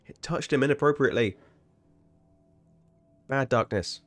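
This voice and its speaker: A man's voice: mid-range voice, unhurried, soft background music. A man chatting casually while streaming a game, rambling and sweary, with sudden startled outbursts. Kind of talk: casual livestream chat.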